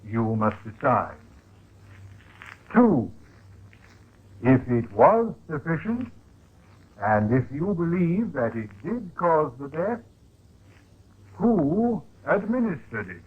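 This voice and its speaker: Pompous old judge, halting and really slow, voice swoops up and down, old radio drama. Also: male voice, fairly high pitch, sing-song, breathy edge, exaggerated voice. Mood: grave, deliberate. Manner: halting, pompous, weighty pauses. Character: ponderous, hesitant elderly male judge addressing a jury. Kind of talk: 1950s radio drama acting. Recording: tinny, narrow-band old radio recording.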